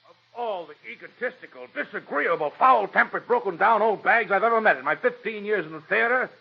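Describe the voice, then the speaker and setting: Brief: an emphatic theater man, husky and gravelly, vintage radio. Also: high voice, expressive, brisk, full character voice. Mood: furious. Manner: exasperated and emphatic. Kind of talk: 1940s radio acting. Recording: dull old radio broadcast.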